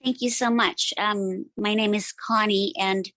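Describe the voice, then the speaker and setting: A woman in her forties from the Philippines, sharing personally at a support meeting, pausing often with many ums. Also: mid-range voice, steady tone, a bit bright, dry recording. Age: 40s. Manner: hesitant, many ums and pauses.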